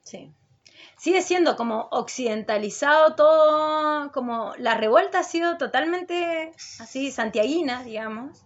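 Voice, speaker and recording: Woman, Chilean accent, fairly high voice, casual radio interviewer, slightly dull lo-fi sound. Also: measured pace. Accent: Chilean Spanish. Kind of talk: casual political chat.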